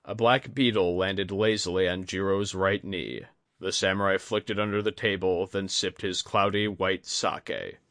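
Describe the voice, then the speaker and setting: Male fantasy audiobook narrator: deeper than average, measured literary read, close dry sound. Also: fairly even intonation, fairly bright tone, dry recording. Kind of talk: scripted fantasy story narration.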